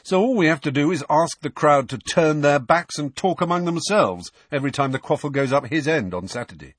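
A man's voice: fairly high pitch, lively intonation, fairly quick, slightly rough, dry recording, voice pushed up. A man voicing a cheeky, restless teenage boy prankster in an audiobook, breezy and blunt. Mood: wry.

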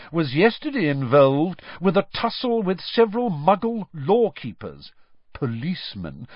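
A man voicing a smug, rich schoolboy bully who talks loudly, crowing and mocking. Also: mid-range voice, dry recording, pitched above the performer's own voice, heavily acted. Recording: dry, slightly dull studio recording.

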